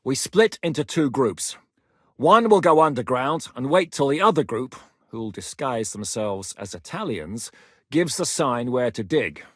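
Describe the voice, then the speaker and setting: Calm, practical soldier-planner; even, mid-range voice, close and dry. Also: male voice, mid-range voice, slightly rough, no room sound. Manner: measured, matter-of-fact plan-laying. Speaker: military planner in a video game. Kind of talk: game voice lines.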